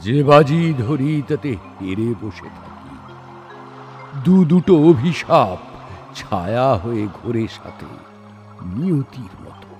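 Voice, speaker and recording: A man's voice: mid-range voice, big pitch swings, takes it slowly, darkish tone. A man voicing a proud, weary, doomed warrior prince in a solemn, declamatory recitation of an epic verse drama. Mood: bitter, resigned. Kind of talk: dramatic Bengali poetry recitation with dialogue. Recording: studio voice over background music. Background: background music.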